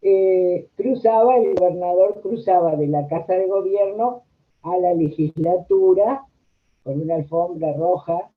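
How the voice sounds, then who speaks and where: A woman's voice: on the low side, measured pace. Older female interviewee from Argentina, Rioplatense accent. Anecdotal, conversational telling through thin phone-like audio. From La Plata, Argentina. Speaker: interviewed social worker. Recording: tinny, narrow phone-like audio.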